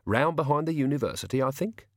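A man voicing a smiling young male villain who speaks softly and genially with quiet menace. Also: mid-range voice, animated, fast talker, dry recording, clean studio sound, pitched above the performer's own voice, close to an everyday voice. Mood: silkily menacing. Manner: soft, genial and quietly threatening.

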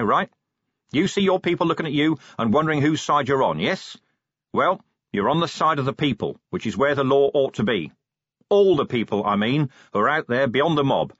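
Police commander played by a man: world-weary, blunt, sardonic authority, lively intonation, dry close sound. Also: fairly high pitch, slightly rough, dry recording, highs cut off, voice pushed up, exaggerated voice. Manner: sardonic, commanding, plain-spoken.

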